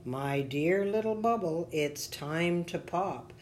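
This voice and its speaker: Woman doing god in a kids story, calm n kindly, low, slow and soothing. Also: slight background noise. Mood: gentle.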